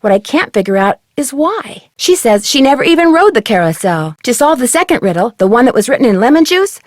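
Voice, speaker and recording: A woman's voice: fairly high pitch, very animated, a little fast, no room sound, studio quality. A woman playing an earnest, inquisitive teenage girl detective in a video game, chatting in articulate, conversational lines. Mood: thoughtful, speculating.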